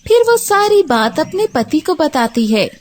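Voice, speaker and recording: A woman tells a scripted story for children as its narrator. Her voice is high and she takes her time, reading slowly. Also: very animated.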